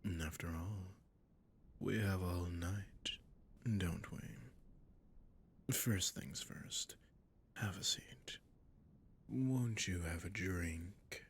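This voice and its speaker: A suave, sadistic male vampire voiced by a man speaks in an intimate audio roleplay. His breathy voice is deep and unhurried, teasing his prey with formal courtesy and menace. A faint rumbling noise sits behind him. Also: heavily acted. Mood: silky, insistent. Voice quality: low, breathy, gravelly.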